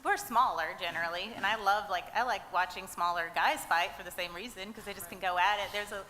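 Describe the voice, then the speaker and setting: A woman's voice: medium pitch, brisk, pushed and bright. A woman fighter giving thoughtful, rambling answers at a press conference. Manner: thoughtful, rambling, many fillers. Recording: roomy hall, faint noise.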